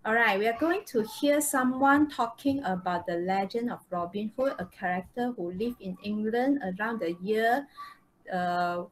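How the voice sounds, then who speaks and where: A woman with a Malaysian accent teaching a live online English lesson, explaining step by step and checking understanding. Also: mid-range voice. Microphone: video call microphone. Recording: noisy online video call.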